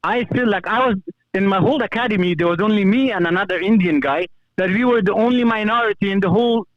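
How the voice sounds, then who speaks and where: High-voiced Afghan-accented man on a dull call. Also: fast, no echo. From Kabul, Afghanistan. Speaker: call-in guest, former police officer. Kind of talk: personal account in conversation.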